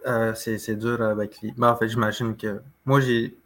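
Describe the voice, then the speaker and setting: A man with a Quebec French accent co-hosting a podcast, talking casually in a hesitant, halting way. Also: fairly low pitch, flat delivery, a bit bright, a little hiss or hum. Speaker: podcast co-host. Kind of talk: casual conversation.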